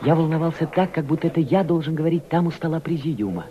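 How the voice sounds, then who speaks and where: An actor playing an earnest member of a Soviet delegation, narrating his memories with deliberate, dramatic phrasing in a radio drama. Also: on the high side, expressive, lightly acted. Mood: earnest, intent. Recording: dull old recording with background ambience.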